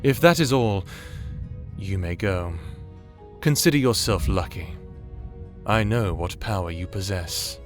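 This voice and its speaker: A menacing conqueror of a fantasy audio drama, acted by a man, with a lowish voice and very slow, deliberate delivery. He is possessive and haughty, phrasing everything formally and looking down on whoever he addresses. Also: animated, soft background music. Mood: smug, menacing. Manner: formal, imperious, deliberate, condescending. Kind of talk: fantasy audio roleplay acting.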